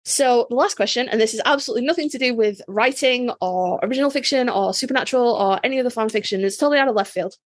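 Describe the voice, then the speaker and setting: A woman's voice: on the high side, quick pace, few pauses, dry recording. A woman co-hosting a podcast in a chatty, playful way, often restarting her sentences. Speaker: podcast co-host. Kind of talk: casual chat.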